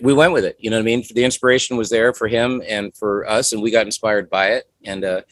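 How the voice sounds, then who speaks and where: Casting: man in his forties, lowish voice, loose rambling interview talk, dry close mic. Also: fairly even intonation, fast, a bit bright, no room sound. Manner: rambling, many "you know" fillers. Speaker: guest musician. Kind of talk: casual interview chat.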